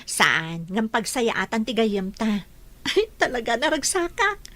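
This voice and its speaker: High-pitched cheerful young woman, radio drama, eager gossiping friend. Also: very animated, slow, fairly bright tone, full character voice. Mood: cheerful, excited. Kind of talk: Ilocano radio drama acting.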